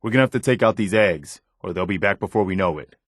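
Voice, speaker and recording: A man's voice: low-pitched, fast, no echo, clean studio sound. A man voicing an earnest, dutiful militia officer and loyal second-in-command in a video game, sincere and plain-spoken. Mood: businesslike, commanding. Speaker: video game companion character voice actor. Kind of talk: scripted game dialogue.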